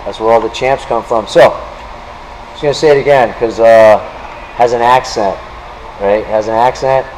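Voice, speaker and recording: A man's voice: mid-range voice, normal speed, darkish tone. Man, young adult, enthusiastic interviewer, gym, noisy background. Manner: hyping, enthusiastic. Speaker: interviewer and show host. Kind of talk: casual interview. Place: large indoor gym.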